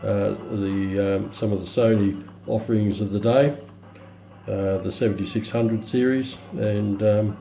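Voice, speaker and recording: A middle-aged man giving an unscripted product demonstration in a halting, matter-of-fact way. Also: fairly low pitch, steady tone, vocal fry, dark tone, echoey room, faint music behind. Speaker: presenter of a radio review. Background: shortwave radio broadcast playing.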